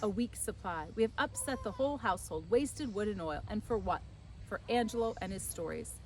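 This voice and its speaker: A woman as an excitable, scolding Italian mama. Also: medium pitch, a little fast, lightly acted. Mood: exasperated. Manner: exclamatory, repetitive, dramatic complaining. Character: excitable, scolding, house-proud Italian innkeeper's wife and mother.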